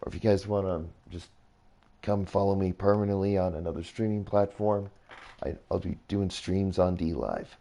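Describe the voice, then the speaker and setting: A young man casually rambling through a game tour as a streamer, his voice a little raspy, often reacting with surprise. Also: deep voice, moderate pace, mellow. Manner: rambling, easily impressed, mild swearing. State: slightly raspy voice. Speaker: gaming streamer. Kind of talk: casual game commentary while touring builds.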